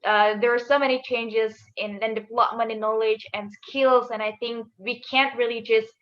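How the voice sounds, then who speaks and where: A woman's voice: fairly high pitch, steady tone, moderate pace. A woman moderating an online panel discussion in an affirming, encouraging way, on muffled call audio. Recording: dull, band-limited call audio.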